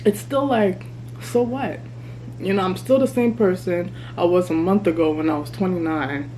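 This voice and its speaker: A woman in her thirties talking candidly to her vlog camera while she eats, chatty and relaxed. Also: on the low side, moderate pace, slight background noise, rough recording. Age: 30s. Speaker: vlogger. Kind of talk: casual mukbang vlog chat. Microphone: camera mic.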